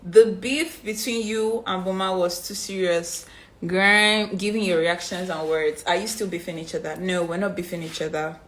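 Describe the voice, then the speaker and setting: A woman in her twenties with a Nigerian accent, reading out fan questions and answering them in a relaxed, rambling way with plenty of ums. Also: fairly low pitch, moderate pace. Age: 20s. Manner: reads fan questions aloud then answers chattily with many ums. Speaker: reality show contestant answering fan questions. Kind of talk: casual live-stream Q&A. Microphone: phone on a live stream.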